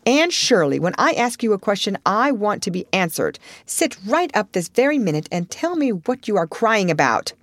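A woman voicing Marilla, a stern, practical older woman who scolds briskly. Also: mid-range voice, sing-song, darkish tone, dry recording. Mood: scolding.

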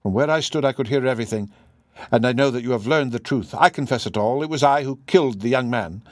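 A man reading aloud, voicing a dignified foreign woman confessing in a steady, grave way. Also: medium pitch, fast talker, no echo, studio quality, natural voice. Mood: resigned. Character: proud foreign woman, weary and defiant.

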